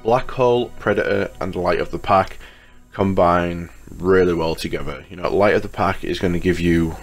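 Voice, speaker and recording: Very deep-voiced man with a slight cold. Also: normal speed. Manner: informal, chatty, addresses viewers as guys. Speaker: gaming YouTuber, host. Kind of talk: casual video game guide commentary over gameplay menus. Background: music.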